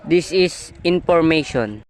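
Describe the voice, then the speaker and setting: A woman's voice: low-pitched, lively intonation, unhurried, a little mellow. A woman playing a polite, businesslike telephone service clerk in an amateur radio play, formal and courteous.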